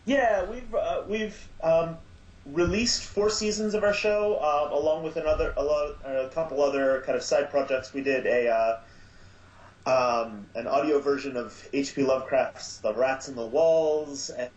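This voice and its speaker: A man being interviewed as producer and director of an audio drama, talking in a halting way with many fillers and restarts. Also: a little high, darkish tone. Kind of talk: casual podcast interview. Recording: echoey, dull lo-fi sound.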